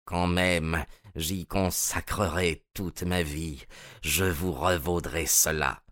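Un homme qui joue, dans une histoire lue, un espion allemand fier, amer et indigné, au débit lent et résolu. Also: male voice, on the low side, expressive, a bit bright, pitched above the performer's own voice. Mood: vengeful. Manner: slow, resolute, aggrieved. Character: bitter, defeated, indignant German spy, proud man.

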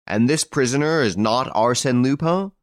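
A man's voice: medium pitch, normal speed, dry recording, studio quality, pitched above the performer's own voice, lightly acted. A man voicing an impatient male judge who questions witnesses in a storybook courtroom, exclaiming and demanding.

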